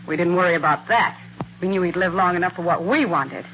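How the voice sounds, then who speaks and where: A woman's voice: fairly low pitch, a little fast, a bit bright, natural voice. An actress playing a cool, calculating young female impostor in a 1940s radio drama. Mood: cool, unrepentant. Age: young adult. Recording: muffled, hissy old radio recording.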